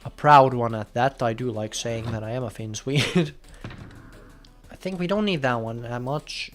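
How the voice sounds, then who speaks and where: Excitable young man streaming. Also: medium pitch, unhurried, faint music behind. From Finland. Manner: chatty, bursts into loud excited outbursts. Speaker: game streamer. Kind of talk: casual live gameplay commentary.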